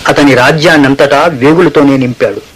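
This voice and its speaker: A man's voice: medium pitch, fairly even intonation, a little fast, breathy edge, close to an everyday voice. A man playing a cautious, learned court musician in a radio play, with a formal, courtly, eloquent delivery. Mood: wary warning. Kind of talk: Telugu radio play acting. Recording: noisy old radio play recording.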